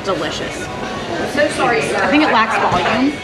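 A woman's voice: fairly high pitch, expressive, normal speed, a loud crowd, poor audio. A middle-aged woman reviewing diner food in a casual, enthusiastic vlog, talking straight to viewers into a handheld camera. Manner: chatty, enthusiastic, addresses viewers directly. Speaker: food vlogger. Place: diner booth, then a city sidewalk outdoors. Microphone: handheld camera at arm's length. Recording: loud street traffic noise outdoors.

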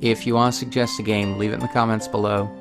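A man's voice: on the low side, flat delivery, fast, music in the background. A man hosting a video game playthrough, commenting on the game and reading its story text aloud. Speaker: let's play host.

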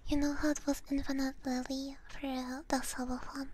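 A woman streaming ASMR, chatting casually to viewers in a soft, breathy whisper close to a binaural mic, repeating short phrases playfully. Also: sits high, level tone, normal speed. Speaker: ASMR livestreamer. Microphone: close binaural ASMR microphone. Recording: close ASMR mic with clear background noise.

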